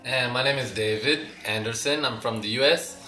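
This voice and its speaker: Bright-voiced young man from Ohio. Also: medium pitch. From Ohio, United States. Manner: easygoing and explanatory, quick in bursts. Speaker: guest. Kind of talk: casual interview. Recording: echoey room with faint music.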